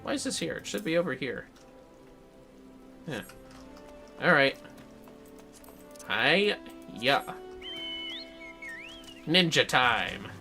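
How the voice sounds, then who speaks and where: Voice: mid-range voice, sing-song, drawn-out words, pauses often, pushed and bright, faint music behind. A gamer giving casual livestream commentary in a playful, reactive, sweary way. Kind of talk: casual game-stream commentary.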